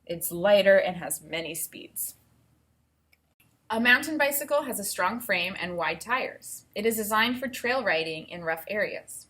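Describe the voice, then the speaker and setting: A woman teacher reading aloud to children and thinking out loud, explaining things step by step in an instructive way. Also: medium pitch, expressive, measured pace. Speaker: elementary school teacher.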